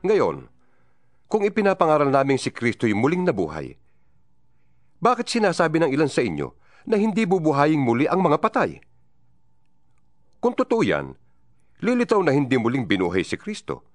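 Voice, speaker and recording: Man reading scripture with lively pitch. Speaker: Bible reader. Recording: music bed under the voice.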